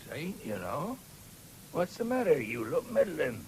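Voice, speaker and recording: Lowish, lilting rural English country voice. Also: female voice, very animated, a little slow, exaggerated voice. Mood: concerned. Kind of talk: 1970s British radio drama acting. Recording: faintly hissy old radio broadcast.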